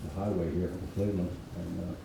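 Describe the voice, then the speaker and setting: An older man, hoarse, giving a halting speech with many pauses. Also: low-pitched, steady tone, mellow, slight background noise. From Mississippi Delta, USA. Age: 80s. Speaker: guest speaker. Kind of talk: informal speech at a reunion event. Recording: echoey hall audio, distant mic.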